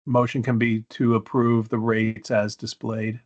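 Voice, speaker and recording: Even-toned man chairing a meeting, dull audio. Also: medium pitch, unhurried. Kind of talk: informal running of a formal meeting.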